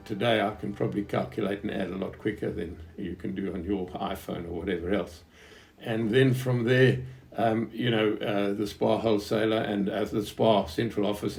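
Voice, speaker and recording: Man, 70s, Southern African accent, low and soft-edged tone, reflective halting storyteller, faint music underneath. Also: creaky. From Zimbabwe. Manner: hesitant, reflective, halting phrasing. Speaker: businessman recounting his life. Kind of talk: unscripted autobiographical storytelling to an interviewer.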